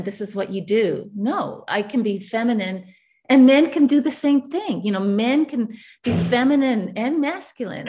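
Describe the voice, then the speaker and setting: A woman in her forties from Chile, an artist reflecting in a relaxed interview over a video call, laughing often. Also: mid-range voice.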